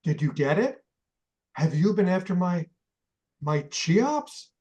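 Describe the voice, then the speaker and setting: An actor playing a fretful older male millionaire collector in a radio play, speaking anxiously and with slow emphasis. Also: high voice, big pitch swings, a little mellow, lo-fi sound, exaggerated voice.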